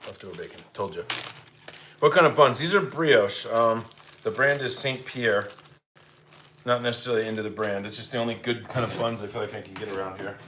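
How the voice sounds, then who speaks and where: A man's voice: fairly low pitch, brisk, pushed and bright. Man chatting casually over noisy kitchen clatter. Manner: informal, chatty, thinking aloud. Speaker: host of a live cooking stream. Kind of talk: casual chat with viewers while cooking. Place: home kitchen. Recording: clear background noise, clattering dishes and cutlery.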